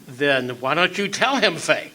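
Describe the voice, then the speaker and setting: An actor playing a menacing, gloating crook with a gun in a melodramatic radio play. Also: male voice, on the high side, expressive, pushed and bright, exaggerated voice, poor audio. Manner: slow, gloating, taunting. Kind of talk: melodramatic radio drama villain.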